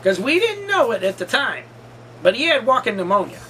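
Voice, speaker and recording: A man's voice: very high-pitched, sing-song, a little fast, bright, forceful tone, a little hiss or hum, poor audio. A man in his forties telling a personal story casually, rambling and emphatic, often repeating himself. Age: 40s. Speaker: storytime vlogger.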